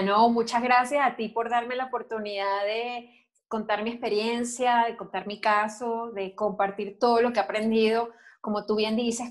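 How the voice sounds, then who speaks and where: A woman in her forties with a Venezuelan accent speaks in a middle-range voice. She tells her story in a steady, open way, as a guest on an interview. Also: mid-range voice. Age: 40s. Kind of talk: video call interview.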